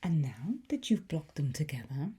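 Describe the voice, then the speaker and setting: A woman whispering breathily as a teacher in an ASMR roleplay, gentle, unhurried and encouraging. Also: sits low, sing-song, slight background noise. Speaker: ASMR host playing a language teacher.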